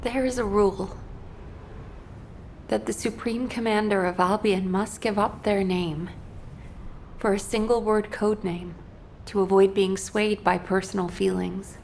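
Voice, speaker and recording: A woman acting a grieving, composed officer in a video game, speaking sincerely and quietly. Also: mid-range voice, fairly even intonation, measured pace, vocal fry, slight background noise. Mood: solemn, mournful.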